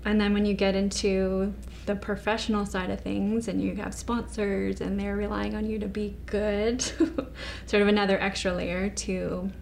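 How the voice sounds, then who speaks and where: A woman's voice: mid-range voice, little pitch movement, unhurried, gravelly, a little mellow, slight background noise. A woman in her forties, a former professional snowboarder from Canada, answering interview questions in an easygoing way with many fillers. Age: 40s.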